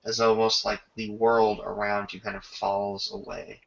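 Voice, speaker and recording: A man runs a tabletop roleplaying game, improvising scenes and calling for rolls with pauses mid-sentence. His voice is creaky with fry and has a bright, tense edge. The sound is slightly dull, as on an online voice chat. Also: fairly low pitch, little pitch movement. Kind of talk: improvised game narration and rules talk.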